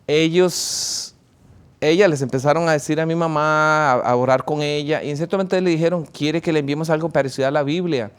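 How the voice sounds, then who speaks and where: A man from Costa Rica, a theologian guest in an interview, speaking in measured answers with frequent hesitations. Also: fairly high pitch, steady tone, clean tone. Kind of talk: interview answers, recounting his life.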